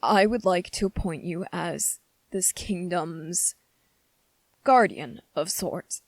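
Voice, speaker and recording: A woman's voice: fairly low pitch, takes it slowly, no room sound. A woman playing an anxious, earnest young prince in an ASMR fantasy roleplay, speaking carefully and formally with hesitant pleading. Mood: earnest, diplomatic.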